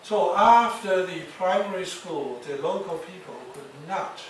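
A man giving a university lecture, speaking haltingly with many fillers and restarts. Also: high-pitched. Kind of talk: classroom lecture. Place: lecture hall. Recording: echoey lecture hall, distant mic, noisy.

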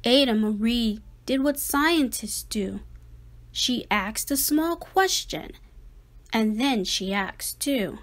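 Female storybook reader, high register, slow and expressive delivery, children's rhyming read-aloud. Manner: dramatic, deliberate phrasing.

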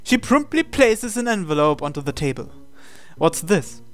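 A let's play host reading a visual novel's hero lines and narration aloud in English, in a plain, unhurried way. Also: medium pitch, sing-song, soft background music.

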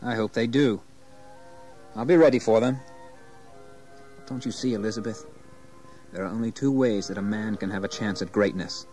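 An actor playing a proud, ambitious colonial gentleman in a radio drama, formal and resolute. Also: on the low side, lightly acted. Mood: defiant, ambitious.

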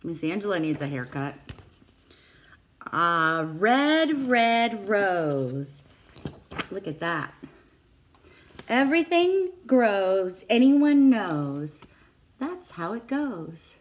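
A woman's voice: medium pitch, big pitch swings, drawn-out words, pauses often. A middle-aged woman, a preschool teacher, reading a picture book aloud to young children in simple phrases. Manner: simple child-directed phrasing with questions to listeners. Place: small classroom, indoors. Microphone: phone or tablet at arm's length.

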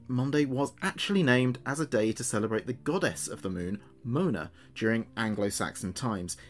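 A man playing a chatty late-night local radio DJ, with a measured presenter's delivery. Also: mid-range voice, close to an everyday voice. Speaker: radio host. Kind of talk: scripted late-night radio show narration.

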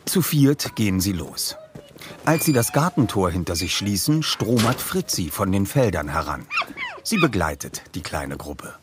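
A man's voice: low voice, sing-song, a little slow. A man narrating a children's detective audio play as a scripted storyteller.

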